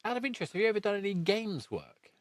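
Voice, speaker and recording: A man's voice: high-pitched, sing-song, fast, dry recording. A middle-aged man hosting a casual interview, chatty and rambling, often rephrasing mid-sentence. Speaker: podcast host.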